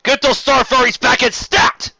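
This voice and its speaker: A man's voice: extremely high pitch, level tone, normal speed, gravelly, bright, forceful tone, breathy edge, no echo, dull audio, pitched above the performer's own voice, exaggerated voice. A man voicing a hard-bitten warship captain, barking commands in a science fiction story. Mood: urgent, barking. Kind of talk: dramatic audiobook-style fan fiction reading.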